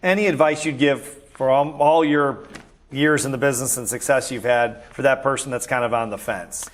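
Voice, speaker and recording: A man of middle pitch conducts an interview. He asks his questions in a plain, measured way.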